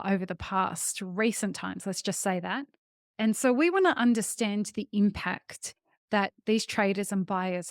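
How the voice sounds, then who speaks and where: A woman hosting a podcast, talking with eager energy and warmly calling her listeners folks. Her voice sits at a medium pitch. The recording is dry and close, with no room sound. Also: no room sound. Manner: enthusiastic, addresses listeners as folks. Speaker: podcast host.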